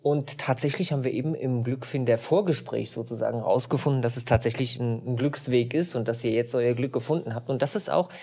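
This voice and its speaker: A man's voice: medium pitch, fairly quick, hardly any pauses, dark tone. A man hosting an interview podcast, effusive and enthusiastic as he introduces and questions his guests. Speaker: podcast host. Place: castle over a lake.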